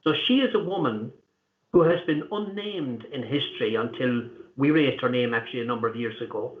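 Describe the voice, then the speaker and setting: Irish male interview guest, earnest and measured, mid pitch, echoey phone-quality line. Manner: earnest, deliberate, pausing with fillers. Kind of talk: conversational interview answers. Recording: tinny echoey call line.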